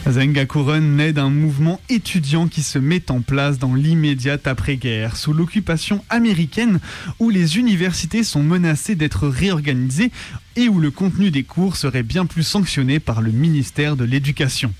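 A man's voice: fairly high pitch, animated, moderate pace, a little mellow. A man reading a scripted documentary narration as a radio presenter. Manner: reading from a prepared text. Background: music.

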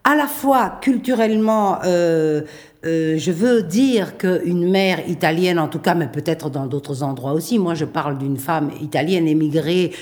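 A middle-aged woman, speaking thoughtfully in a radio interview, pausing and restarting often. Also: deep voice, darkish tone. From Belgium. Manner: thoughtful, hesitant with many pauses and restarts. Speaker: playwright and performer.

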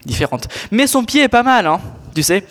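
A man's voice: sits high, sing-song, normal speed, fairly bright tone. A young man in his teens playing an exasperated, sardonic squire in a student audio drama, grumbling in a slangy way. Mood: sarcastic. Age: teenager.

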